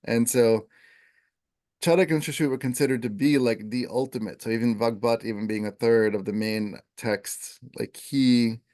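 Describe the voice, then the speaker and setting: A man who teaches Ayurveda, explaining in a relaxed, conversational way with plenty of fillers. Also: mid-range voice, moderate pace. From Italian first language. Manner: relaxed, filler-heavy, chants Sanskrit verses.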